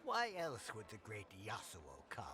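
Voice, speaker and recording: Cryptic old temple spirit, low slow voice, calm n beguiling, game cinematic. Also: male voice, heavily acted. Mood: serene, inviting. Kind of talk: cinematic fantasy game acting. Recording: music behind the voice.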